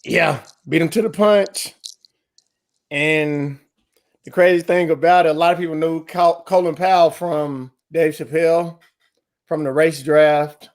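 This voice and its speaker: A man co-hosting a laid-back podcast with his friends, his voice sitting a bit higher than most. He tells stories with lots of animation, drops slang at every turn and throws jokey jabs at the others. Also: measured pace. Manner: animated storyteller, trash-talking, slangy. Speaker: podcast co-host. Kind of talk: casual podcast banter.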